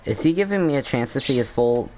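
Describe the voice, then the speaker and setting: A man's voice: mid-range voice, expressive, quick pace, darkish tone, slight background noise, rough recording. A man giving casual gameplay commentary, rambling and thinking aloud as he plays. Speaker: gamer commentator.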